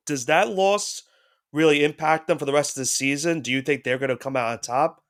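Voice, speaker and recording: A man's voice: on the high side, fairly quick, a bit bright. Congested man chatting about college football. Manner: rambling, with restarts and hedges. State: congested head cold. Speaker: podcast co-host. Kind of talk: casual sports talk.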